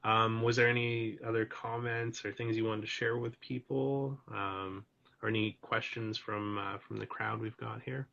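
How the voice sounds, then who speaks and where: A man hosting a live stream, tired and starting to lose his voice. His voice sits a little low, and he rambles loosely with plenty of fillers. The stream audio is dull and lo-fi. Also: flat delivery, normal speed, vocal fry, sharp tone. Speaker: host. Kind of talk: casual chat on a live stream. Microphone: video call.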